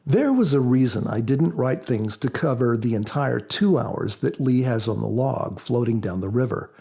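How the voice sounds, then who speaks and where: A man speaking as an author on a podcast, explaining things in a measured, reflective way. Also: mid-range voice, expressive, creaky, dark tone, slightly rough. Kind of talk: measured explanatory talk with scripture readings.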